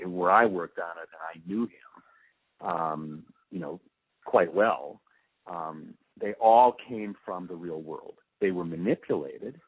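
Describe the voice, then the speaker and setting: Male interviewee, low and husky, emphatic storyteller recalling past work, narrow telephone sound. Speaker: interviewee, radio drama post-production supervisor. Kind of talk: reminiscing in an interview. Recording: muffled phone line, dry.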